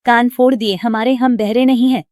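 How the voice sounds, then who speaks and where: Outspoken young shopkeeper's daughter from a village story, played by a woman, on the high side. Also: steady tone, normal speed, a little mellow, dry recording, studio quality, lightly acted. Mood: annoyed. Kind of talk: storybook character dialogue.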